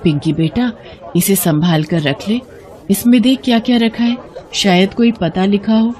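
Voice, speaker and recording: A woman's voice: medium pitch, a little slow, darkish tone, some chatter behind, close to an everyday voice. A woman playing a poor, honest blind beggar mother in a moral story, plaintive and earnest. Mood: earnest, principled. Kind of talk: dramatised moral story acting.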